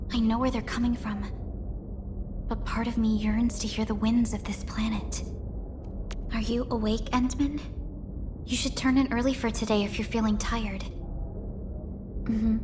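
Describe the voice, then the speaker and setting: Game role: a woman acting a young supervisor, somewhat high-voiced, measured, reserved yet caring, over clearly audible ambient noise. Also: close to an everyday voice. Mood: gently confiding, then tender, wistful. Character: composed, earnest young female company supervisor, quietly caring. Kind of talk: scripted video game character voice lines.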